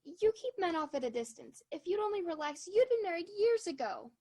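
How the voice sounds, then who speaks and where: A woman's voice: very high voice, big pitch swings, brisk, mellow. A woman playing a timid, anxious small-town lady in a 1940s-style radio play, earnest and pleading.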